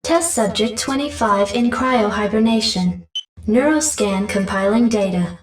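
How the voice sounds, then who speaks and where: A woman's voice: on the high side, lively intonation, unhurried, a little hiss or hum. A woman playing an impassive laboratory computer in a video game, announcing in a clipped, impersonal way. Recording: echoey, distant, music and alarms behind.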